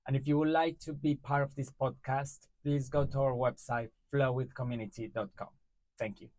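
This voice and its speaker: A man hosting a podcast interview, friendly and halting, restarting his questions. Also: medium pitch, little pitch movement, darkish tone, dry recording, low-quality recording. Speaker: podcast host. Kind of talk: casual interview.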